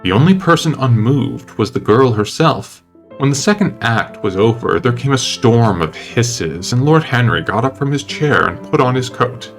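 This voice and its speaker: Male storyteller for literary audiobook narration, dramatic delivery, mid-pitched, music bed underneath. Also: expressive, moderate pace. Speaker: narrator reading a novel aloud.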